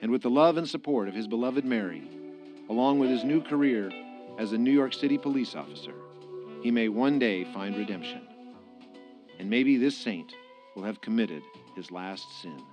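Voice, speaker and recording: An actor delivering scripted narration as the solemn storyteller of a radio crime drama. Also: male voice, medium pitch, moderate pace, lo-fi sound, natural voice. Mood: reflective. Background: music.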